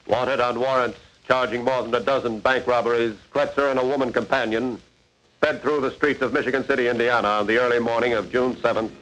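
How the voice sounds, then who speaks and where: A man playing a stern, authoritative narrator of a 1940s radio crime drama, with emphatic, deliberate phrasing. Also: mid-range voice, fairly even intonation, a bit bright, a little hiss or hum, lightly acted, rough recording. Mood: grave. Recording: vintage 1940s radio broadcast.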